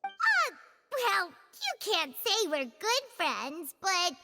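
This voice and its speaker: A woman's voice: extremely high pitch, wildly swooping pitch, extremely slow, over music, heavily acted. A woman voicing a small, girlish, naive and eager fairy companion in a video game. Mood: confused, flustered. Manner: eager, exclamatory.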